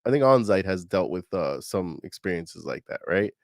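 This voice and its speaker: A man's voice: deep voice, big pitch swings, normal speed, gravelly, darkish tone, no room sound, clean studio sound. A man co-hosting a podcast, talking casually and animatedly with slang and fillers. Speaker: podcast co-host.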